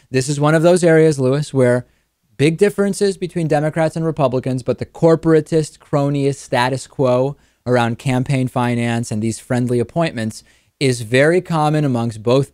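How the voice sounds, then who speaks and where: Male American talk host, mid-range voice, measured and articulate commentary, close dry recording. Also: mid-range voice, darkish tone, no echo. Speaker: talk show host and interviewer. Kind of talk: conversational political commentary.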